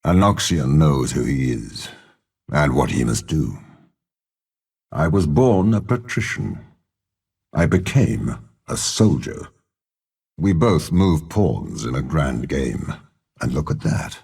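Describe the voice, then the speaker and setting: An imperious, ruthless old warlord from a dark fantasy game. The voice sits extremely low and is thick with gravel. He speaks with measured, haughty menace, swinging widely in pitch like someone who shares his body with a demon. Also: expressive, heavily acted. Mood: grim, commanding. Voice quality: very deep, gravelly, rasping. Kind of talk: dramatic video game character voice lines.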